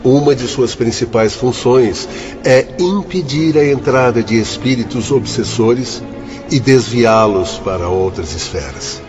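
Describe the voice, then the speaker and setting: A man's voice: medium pitch, unhurried, close to an everyday voice. An actor playing a dignified father and doctor, speaking in a courteous, instructive way in a radio drama. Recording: dull lo-fi audio with music bed.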